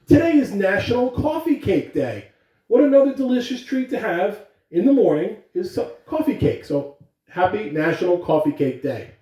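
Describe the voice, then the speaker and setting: Echoey, animated man addressing schoolchildren. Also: high-pitched, moderate pace. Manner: emphatic, animated, addresses children directly. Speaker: school announcer reading aloud. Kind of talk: morning announcements and storytelling for children. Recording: echoey room, distant microphone.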